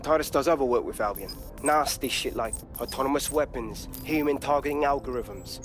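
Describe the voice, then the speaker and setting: An actor playing a blunt, cheeky underground tech fixer in a video game, talking in informal slang. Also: male voice, mid-range voice, a little fast, soft background music, close to an everyday voice. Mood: keen, conspiratorial. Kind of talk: scripted video game dialogue.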